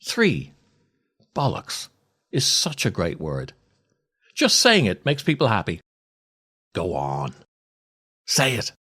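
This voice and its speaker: An older man hosting a podcast, reading scripted comic stories and verse in a theatrical, deliberate way with dramatic pauses. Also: lowish voice, wildly swooping pitch, bright, forceful tone, breathy edge. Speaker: podcast host, writer and actor.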